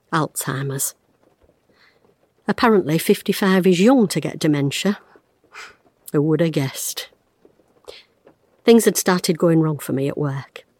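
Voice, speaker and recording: A woman's voice: sits low, darkish tone, no echo. An actress, playing a wry, blunt retired woman detective in an audio drama, recorded close and dry. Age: 50s. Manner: wry, blunt, self-mocking, fraying under strain.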